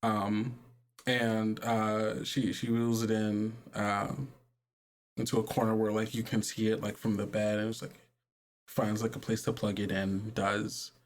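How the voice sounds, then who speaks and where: A man narrating a tabletop game as game master, casual and halting as he searches for words. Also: fairly low pitch, level tone.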